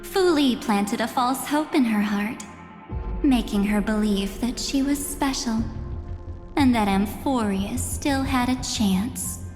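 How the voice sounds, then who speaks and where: A mysterious young woman from a fantasy video game. She speaks very slowly and deliberately, with calm, theatrical condescension. Her voice rings through a long hall reverb over background music. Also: a little high. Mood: grave, condescending.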